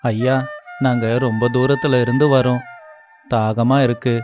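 A man's voice: mid-range voice, little pitch movement, measured pace, natural voice. A man playing a poor, humble villager in a storybook cartoon, earnest and devout. His voice sits in the middle range, and flute music runs beneath it. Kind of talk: animated storybook voice acting.

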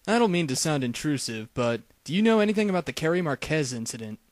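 A man voicing a flippant, cocky detective in a parody cartoon dub, wisecracking in a deadpan manner. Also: on the high side, fast talker, dry recording. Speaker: voice actor in a fan-made anime parody dub.

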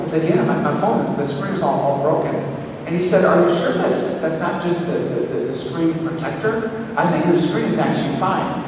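Middle-aged pastor preaching in an echoey hall. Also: male voice, a little high. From Minnesota. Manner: animated storytelling with frequent restarts, pacing the stage. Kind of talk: conversational sermon. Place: large church hall, indoors. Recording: echoey hall recorded from far off with background noise.

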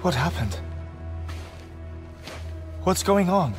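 An actor playing a middle-aged scientist in a video game, a grieving, eccentric and gently melancholy man with measured, articulate phrasing. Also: high voice, very animated, soft background music, exaggerated voice. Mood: desperate. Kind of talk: cinematic game acting, reflective monologue.

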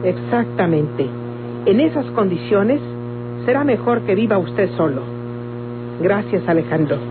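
An actress playing a resolute, reproachful grown daughter in an old-time radio drama. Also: female voice, medium pitch, expressive, measured pace, noisy background, natural voice. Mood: stern, reproachful. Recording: muffled old radio, loud music.

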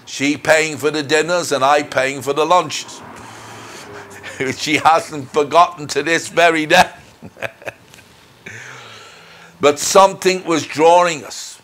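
A middle-aged man giving a personal testimony as a minister, speaking in an earnest, deliberate, measured way. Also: on the high side, steady tone, fairly bright tone. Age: middle-aged or older. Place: indoors in front of a dark curtain. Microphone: lapel mic.

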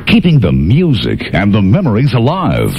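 A man's voice: on the low side, wildly swooping pitch, moderate pace, gravelly, highs cut off, music in the background. A man as an announcer, reading a radio ad and a station promo in a punchy voice.

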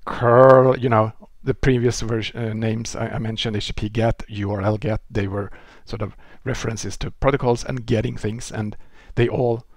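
Man, Swedish accent, 40s, low-ish husky voice, relaxed lecture, headset mic, dry sound. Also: normal speed, few pauses, dry recording. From Sweden. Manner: relaxed explaining, with frequent restarts and fillers. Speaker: presenter. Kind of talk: technical lecture. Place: indoor room.

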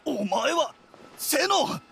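A man playing a shifty smuggler in a Japanese video game, rough, curt and jumpy. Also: very high voice, big pitch swings, takes it slowly, a bit bright, soft background music, exaggerated voice. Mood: alarmed.

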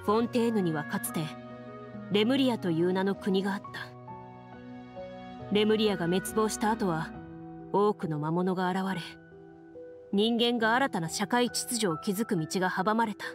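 A woman playing a composed, serious young duelist who tells lore in a measured, matter-of-fact way for a video game. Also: medium pitch, over music, close to an everyday voice. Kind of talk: scripted game dialogue and storytelling.

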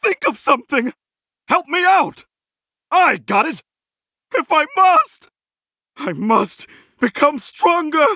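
A goofy middle-aged video game hero, earnest and over-eager. His voice sits very high and swings wildly in pitch, with a bright, forceful edge. Every short battle line comes out as a cheesy heroic exclamation. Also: big pitch swings, slow, pauses often, dry recording, studio quality, exaggerated voice. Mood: eager, determined.

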